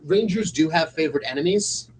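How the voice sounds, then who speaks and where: A man's voice: fairly high pitch, animated, fairly quick, over a noisy crowd, low-quality recording. A man running a live tabletop game as game master, making dry, wry asides to the players.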